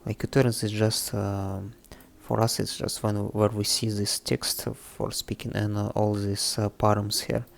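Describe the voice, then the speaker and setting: A man presenting a casual, unscripted coding tutorial, thinking aloud haltingly in non-native English. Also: on the low side, little pitch movement, vocal fry. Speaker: presenter of a programming tutorial series. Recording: faint background music under the voice. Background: faint music.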